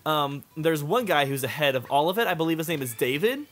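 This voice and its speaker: A man with a slightly high voice, chatting as a co-host over a video game. He rambles informally and drops "like" into his sentences all the time. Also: lively intonation, a little fast, fairly bright tone, faint music behind. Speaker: gaming video creator, co-host. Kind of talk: casual chat over gameplay.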